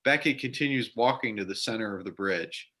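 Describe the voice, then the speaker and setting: A man's voice: lowish voice, fairly quick, bright, forceful tone. A man narrating scripted stage directions for a readers theater drama.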